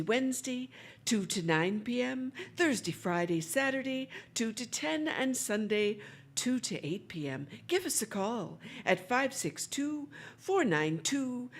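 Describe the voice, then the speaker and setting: A woman delivers a scripted sponsor message as an announcer. She speaks slowly in a husky voice, with lively rises and falls. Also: mid-range voice.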